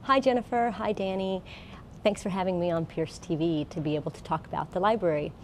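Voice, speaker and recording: A woman's voice: on the low side, a little fast, a little hiss or hum. An American woman giving an informal, plain-spoken explanatory presentation as a college librarian. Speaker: college librarian, guest speaker.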